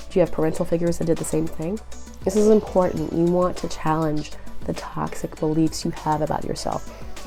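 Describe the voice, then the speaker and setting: A young woman with a cold, sounding nasal and raspy, giving earnest, encouraging relationship advice straight to the viewer. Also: low voice, mellow. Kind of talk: direct-to-camera advice vlog.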